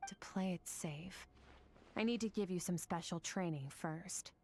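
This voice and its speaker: Calm aloof warrior mentor lady, lowish voice, slight hiss behind. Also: unhurried. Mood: composed. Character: cool, composed woman mentor warrior. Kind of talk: video game dialogue.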